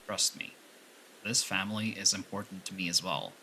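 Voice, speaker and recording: A man's voice: deep voice, steady tone, normal speed, pushed and bright, a little hiss or hum, rough recording. A man playing a stern, loyal older gangland retainer in an audio drama, firm and reproachful. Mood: stern defiance. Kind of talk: ASMR roleplay audio drama acting.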